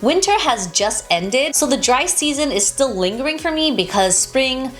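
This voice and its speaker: A young woman with a higher voice reviews her monthly beauty favorites in a chatty, upbeat way. She sounds nasal and stuffed up from allergies, and faint music plays behind her. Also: big pitch swings, normal speed, fairly bright tone. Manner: chatty, upbeat, repeats and restarts phrases. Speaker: beauty vlogger. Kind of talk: casual product review to camera.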